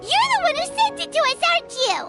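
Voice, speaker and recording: A woman playing a tiny, childlike, pouty fairy companion in a video game, squeaky and excitable. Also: fast, heavily acted. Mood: indignant. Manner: indignant, refers to self in third person. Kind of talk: video game character acting. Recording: music behind the voice.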